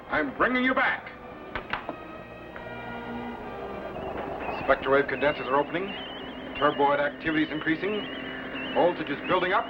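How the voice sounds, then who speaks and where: Animated vintage sci-fi captain. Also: male voice, on the high side, background noise. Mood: focused. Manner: crisp, declarative, theatrical. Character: commanding, earnest time-travelling scientist captain. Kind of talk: 1950s sci-fi serial acting. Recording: muffled vintage show soundtrack.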